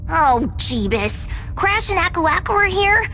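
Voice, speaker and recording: A woman's voice: extremely high pitch, big pitch swings, measured pace, sharp tone, faint music behind, heavily acted. A woman voicing a bossy, sarcastic teenage girl villain in a video game.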